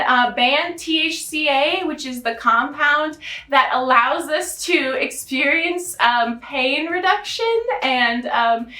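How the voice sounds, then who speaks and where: A theatrical storytelling host with an American accent and a high feminine voice, delivering satire in sarcastic mock sweetness. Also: sharp tone. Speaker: storytelling host in a drag elf persona. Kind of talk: satirical storytime to camera, mock fairy tale. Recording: roomy, echoing sound.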